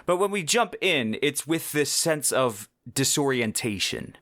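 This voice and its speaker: A man's voice: mid-range voice, lively intonation, unhurried, fairly bright tone, dry recording, studio quality. A man hosting a podcast, giving a scripted book review in an enthusiastic, conversational way with casual asides. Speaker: podcast host.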